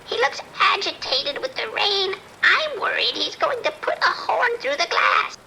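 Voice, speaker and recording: A woman's voice: extremely high pitch, very animated, unhurried, gravelly, background noise, heavily acted. A woman playing a fretful, indignant resident phoning the sheriff in an audio drama. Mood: agitated, worried. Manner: anxious, indignant.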